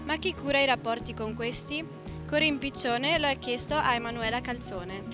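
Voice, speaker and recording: Young female student reporter, higher pitch, scripted newsreel delivery, loud music behind. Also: moderate pace. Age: teens or young adult. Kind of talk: news presenting and interview questions.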